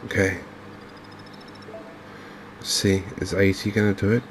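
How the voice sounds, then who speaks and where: A man speaking softly and unhurriedly in an ASMR style, murmuring his way through video game commentary as a streamer. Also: sits low, little pitch movement, a little hiss or hum. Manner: soft-spoken, unhurried, murmuring to himself.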